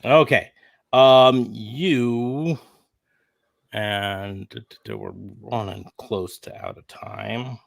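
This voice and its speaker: A man's voice: lowish voice, extremely slow, gravelly. A man hosting a podcast in casual banter, joking and thinking aloud with hesitations. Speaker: podcast host. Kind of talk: casual wrestling fantasy booking chat.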